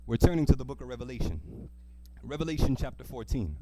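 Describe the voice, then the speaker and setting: A man's voice: mid-range voice, animated, fast talker, mellow. A man preaching to a congregation, earnest and emphatic, repeating key phrases and prompting listeners with questions. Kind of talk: church sermon with call-and-response questions to the congregation. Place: speaking live to a church congregation.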